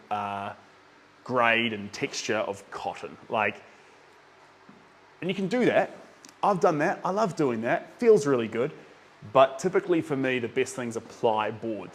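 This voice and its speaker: A young man from New Zealand with a Kiwi accent, chatting casually with viewers while he paints, rambling with lots of ums. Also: medium pitch. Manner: chatty, rambling with many ums, reads out chat names.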